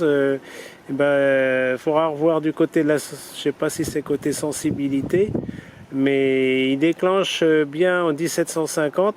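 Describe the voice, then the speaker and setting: A man's voice: a little high, a little mellow. A man chatting casually as an amateur radio operator, hesitant and repeating himself, often ending phrases with little tags. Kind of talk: casual ham radio chat. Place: outdoors, by road or vehicle. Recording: noisy outdoor recording with traffic.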